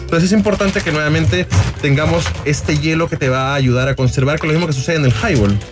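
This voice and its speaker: A man's voice: fairly high pitch, fast, over music. A man from Peru, a bartender guest on a radio show, explaining drinks. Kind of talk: casual radio chat.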